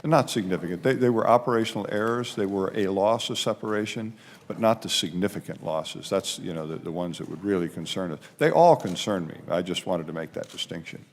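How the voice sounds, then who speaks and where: Low-voiced man giving emphatic testimony. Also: fairly quick. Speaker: aviation official testifying at a hearing. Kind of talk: spoken testimony.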